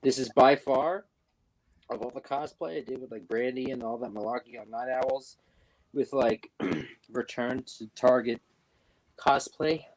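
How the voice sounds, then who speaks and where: A man's voice: medium pitch, measured pace, darkish tone, low-quality recording. A young man chatting casually as a guest, rambling with fillers and restarts. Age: young adult. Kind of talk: casual chat. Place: New York.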